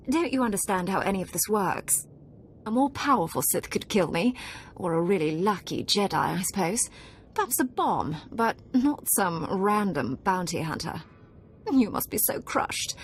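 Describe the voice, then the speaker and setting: A woman's voice: mid-range voice, lively intonation, moderate pace, a little hiss or hum, natural voice. An actress playing a spoiled, arrogant young Sith noblewoman in a video game. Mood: haughty. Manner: haughty, mocking, then panicked.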